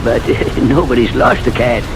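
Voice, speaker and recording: A man's voice: medium pitch, a little slow, noisy background, close to an everyday voice. An actor playing a patient, skeptical man in an old-time radio drama, humoring someone in a soothing, hesitant way. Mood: soothing, doubtful. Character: patient, skeptical male visitor humoring a troubled woman. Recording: old radio recording with music behind.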